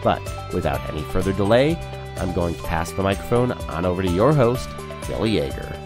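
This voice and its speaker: A man with a very deep voice reads a scripted podcast introduction in an upbeat, promotional way. Clear music plays underneath his words. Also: lively intonation, fairly quick. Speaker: podcast producer and announcer.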